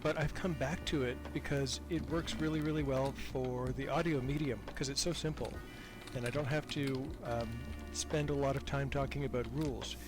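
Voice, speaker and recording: A man's voice: mid-range voice, steady tone, normal speed, music in the background. An older man chatting casually as a podcast guest, talkative and self-deprecating. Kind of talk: casual interview chat.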